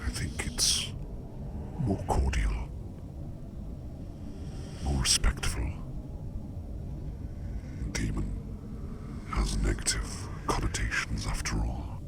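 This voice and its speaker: A man voicing a curious, courteous sleep demon in an ASMR roleplay, speaking in a breathy, gravelly whisper with formal, measured, reassuring phrasing. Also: rumbling low, background noise, heavily acted. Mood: calm, reassuring.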